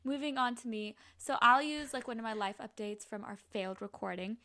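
Young woman, first language Urdu, casual rambling co-host, gravelly fry. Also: a little high, steady tone, brisk, bright, forceful tone. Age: young adult. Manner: rambling, restarts often, laughs easily. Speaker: podcast co-host, student. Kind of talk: casual chat.

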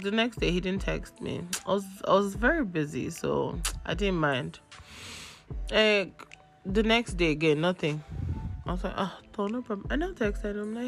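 Young nigerian woman with a cold, chatty animated storyteller, voice going hoarse, some music underneath. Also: medium pitch. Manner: chatty, animated, acts out texts in quotes. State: has a cold, losing her voice. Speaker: podcast host. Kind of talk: casual storytelling.

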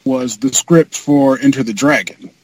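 A man with a medium-pitched voice talks casually on a podcast panel. He has a wry way of talking, and faint noise and clicks sit behind him. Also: steady tone, unhurried, low-quality recording. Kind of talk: casual group chat.

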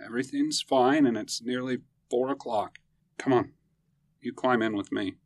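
A woman voicing a gentle husband who softly soothes his wife after a nightmare. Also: sits low, sing-song, dry recording, clean studio sound, pitched below the performer's own voice, heavily acted. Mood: soothing. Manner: soft, soothing, slow.

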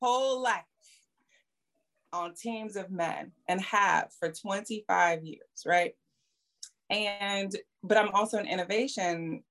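A woman's voice: mid-range voice, takes it slowly, fairly bright tone. A middle-aged woman explaining her views at length in conversation, emphatically, with rhetorical questions and checking tags.

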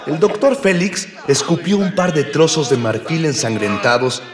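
A man's voice: on the high side, animated, moderate pace, crowd noise, lightly acted. A man playing a grandiose narrator of a pulp space serial, pompous and florid, in mock-heroic radio style. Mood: pompous, dramatic. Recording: echoey long reverb, music behind.

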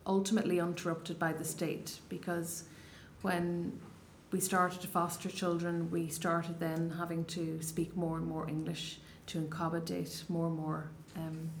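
A woman's voice: on the low side, monotone, unhurried, hardly any pauses, slight background noise. A woman in her thirties with a Donegal Irish accent, answering interview questions in a thoughtful, hesitant way with many restarts. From Ireland, Donegal Gaeltacht. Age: 30s. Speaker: poet, interview guest.

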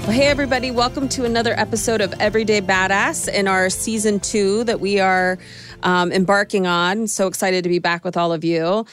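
A woman's voice: medium pitch, fairly even intonation, brisk, a bit bright, faint music behind. A woman hosting a podcast interview in an upbeat, enthusiastic way, with frequent ums. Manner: upbeat and enthusiastic, many fillers. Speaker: podcast host.

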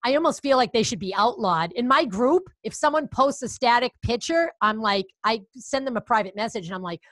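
A woman's voice: fairly high pitch, lively intonation, brisk, a bit bright, dry recording. Chatty Boston-accented woman host. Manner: upbeat, chatty, encouraging. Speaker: podcast host. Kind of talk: casual interview chat.

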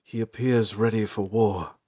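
A man's voice: on the low side, fairly even intonation, unhurried, dark tone, breathy edge. A man voicing a shifty, confident male ranger with a severe, pointed manner in a fantasy audiobook. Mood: grave. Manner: pointed, grave. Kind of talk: audiobook character dialogue.

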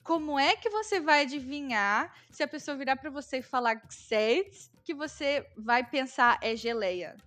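Brief: Brazilian woman, casual chatty guest, slightly high voice, faint music behind. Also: expressive, measured pace, hardly any pauses, a bit bright. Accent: Brazilian Portuguese. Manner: chatty, explaining with many fillers. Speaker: guest, Brazilian living in Germany. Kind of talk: casual conversation.

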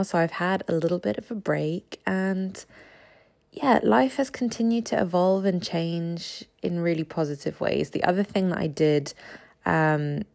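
A low-pitched woman in her thirties chats through her podcast, her just-woken voice raspy with gravelly fry. She talks in a loose, friendly ramble with plenty of ums and little laughs. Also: a little mellow. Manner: chatty, laughs often, many ums. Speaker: podcast host. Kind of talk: casual solo podcast chat.

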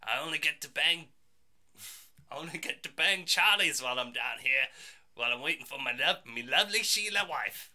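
A rowdy Australian showman, put on by a man in a comedy podcast bit, with a loose, bawdy, slang-heavy delivery. The voice is very bright and sharp and sits a little high. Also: expressive, moderate pace, exaggerated voice. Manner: bawdy, joking, slangy, laughing often.

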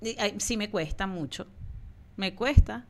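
A woman from Venezuela with a Venezuelan accent, co-hosting a casual chat and telling animated, laughing stories. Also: medium pitch, unhurried, slight background noise. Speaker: co-host.

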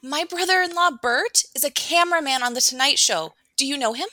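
Sketch role: a woman doing a gushing, nosy coworker, bright and high, close dry sound. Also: brisk, no echo. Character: bubbly, nosy female office coworker. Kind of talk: comedy sketch.

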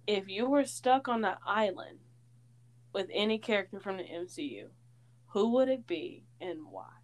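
A young woman from Tennessee co-hosts a casual fan podcast, teasing and giggling as she talks. Her voice sits at an ordinary mid pitch. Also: animated, normal speed. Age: 20s. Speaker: podcast co-host. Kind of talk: casual chat.

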